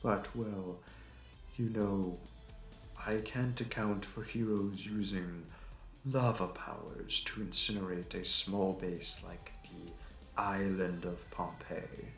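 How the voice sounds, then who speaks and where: A man's voice: deep voice, fairly even intonation, gravelly. A man playing a suave, smug supervillain in an audio roleplay, with a mocking, flirty, theatrical delivery. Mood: measured, coy. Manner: theatrical, taunting, drawn-out delivery. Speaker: voice actor. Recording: roomy echo, distant mic, music behind.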